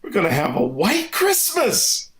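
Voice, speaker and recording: A man's voice: sits high, wildly swooping pitch, measured pace, a bit bright, heavily acted. A man acting a reassuring, hesitant middle-aged father in a 1950s-style family radio comedy. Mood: cheerful, reassuring. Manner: hesitant, folksy, soothing. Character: cheerful reassuring middle-aged father, flustered but soldiering on.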